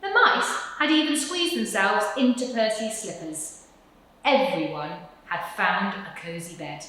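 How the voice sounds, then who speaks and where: A woman reading a children's picture book aloud with expressive, dramatic storytelling emphasis. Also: mid-range voice, a little slow, slight background noise. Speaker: story reader. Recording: very echoey room.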